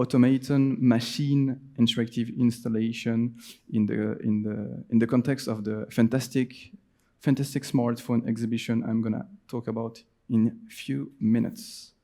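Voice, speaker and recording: A young man from Switzerland speaks English with a Swiss French accent, presenting at a conference. He pauses often, fills gaps with "uh," and restarts his sentences. His voice sits at a middle pitch with a mellow, dark tone. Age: 20s. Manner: hesitant, frequent fillers and restarts. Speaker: designer giving a conference talk. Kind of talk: presentation talk.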